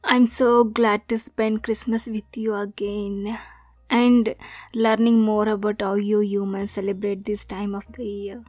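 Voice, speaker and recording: A woman's voice: mid-range voice, flat delivery, measured pace, darkish tone, no echo, soft background music, close to an everyday voice. A woman playing a sweet, naive but possessive mermaid girlfriend in an intimate ASMR roleplay, coy and often slipping toward a whisper. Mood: tender, intimate.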